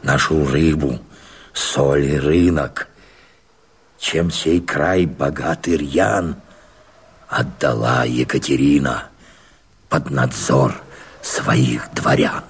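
Radio play: old peasant Cossack, bottom-register husky voice, very slow declamation, bitter and defiant. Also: male voice, a bass voice, exaggerated voice. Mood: bitter lament. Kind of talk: dramatic verse recitation in a radio play.

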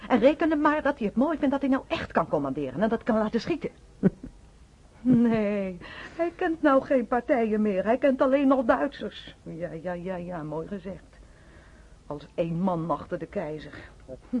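A woman acting an anguished, bitter middle-aged mother in a radio drama, with a husky voice and emotional delivery. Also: mid-range voice, expressive, normal speed. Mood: bitter, mocking. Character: bitter, anguished working-class mother of soldier son. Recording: dull old radio recording.

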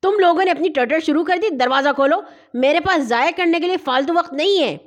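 A woman voicing a brash, impatient male robber in a storybook reading, loud and demanding. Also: very high-pitched. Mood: irritated.

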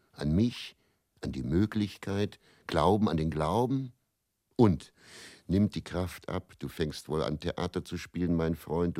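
Weary faith-healing showman, deep male voice, brooding, confessional, self-doubting, deliberate, radio play monologue, close dry studio sound. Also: mellow, no room sound, full character voice.

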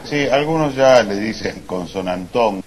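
A man's voice: lowish voice, expressive, unhurried. Guy doing a fussy know-it-all scholar, stiff formal talk, noisy live stage. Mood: pedantic. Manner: mock-learned, overly formal. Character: pedantic, fussy male language scholar. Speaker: comic stage performer. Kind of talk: live stage comedy sketch. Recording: live stage with background noise.

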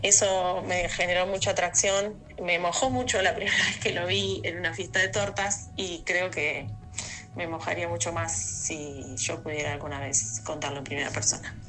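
Bright-voiced Argentine woman, low, reflective. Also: steady tone, moderate pace, faint music behind, rough recording. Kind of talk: recorded personal audio message.

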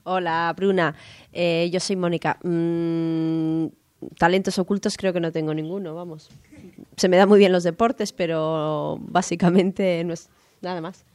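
A woman answering a radio interview question in a modest, low-key way. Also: steady tone, unhurried, a little mellow, clean tone, no room sound. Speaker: interview guest.

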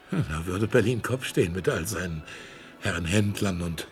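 A man's voice: deep voice, animated, slow, exaggerated voice. A proud and stubborn old art collector, childlike in his fervour. He lingers over his words and boasts about his treasures. Faint music sits beneath the radio play. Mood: enthusiastic. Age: older.

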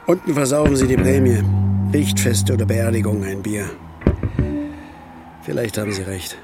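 An actor playing a weary, bitter, hard-drinking East German building worker, declaiming poetically in a theatrical radio play. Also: male voice, mid-range voice, fairly even intonation, moderate pace, over loud music, close to an everyday voice. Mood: weary, resigned.

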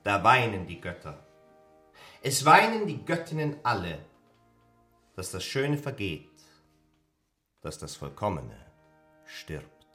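A man playing a vain stage actor in a German radio drama, with a grand, drawn-out, declamatory delivery. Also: lowish voice, very animated, sharp tone, roomy sound, faint music behind, exaggerated voice. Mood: solemn declaiming. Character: vain, theatrical male stage actor, self-satisfied.

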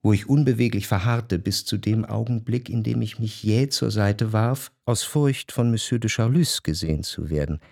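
A man playing a refined, observant first-person narrator in a radio play, reciting literary prose with measured, deliberate phrasing. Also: fairly low pitch, vocal fry, darkish tone, no room sound, clean studio sound. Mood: reflective, observant.

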